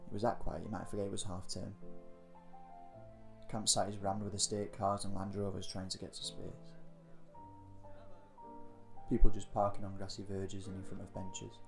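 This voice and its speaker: A man's voice: sits low, monotone, moderate pace, gravelly, pauses often, music in the background. A man narrating a scripted first-person audio story in a descriptive, reflective manner. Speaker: narrator of an audio story, playing a scout leader.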